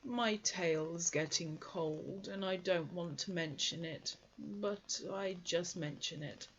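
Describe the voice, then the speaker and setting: A woman's voice: fairly low pitch, slow pace, slight background noise. A woman voicing a gloomy, grumbling old male donkey. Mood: glum. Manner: grumbling, glum. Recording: dull lo-fi recording.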